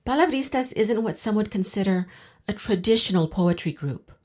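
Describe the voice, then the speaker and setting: Woman reading a radio news story, mellow voice, pitch moves around a lot. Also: expressive, moderate pace. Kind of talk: scripted news narration.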